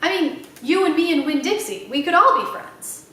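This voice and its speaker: A woman's voice: high voice, lively intonation, normal speed, sharp tone. A woman voicing a curious, friendly ten-year-old girl with an eager, questioning manner, in a children's storybook reading. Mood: friendly, hopeful. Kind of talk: children's audiobook character voice. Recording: very echoey room, slightly distant mic.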